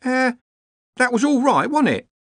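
A man's voice: very high-pitched, sing-song, normal speed, fairly bright tone, dry recording, pitched above the performer's own voice, full character voice. A man voicing a skinny, worried, deferential Victorian woman cook in a storybook reading. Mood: anxious, flustered. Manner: anxious, deferential, uneducated servant's grammar.

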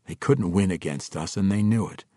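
A man as a composed dark elf ranger. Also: deep voice, normal speed, husky, no room sound, clean studio sound. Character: calm, measured male dark elf ranger.

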